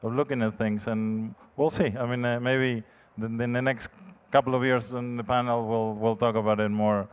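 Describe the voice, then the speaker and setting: A middle-aged man answering on a conference panel into a handheld mic, measured and hesitant, with many restarts. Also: mid-range voice, level tone, clean tone. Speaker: real estate developer panelist. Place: large conference stage.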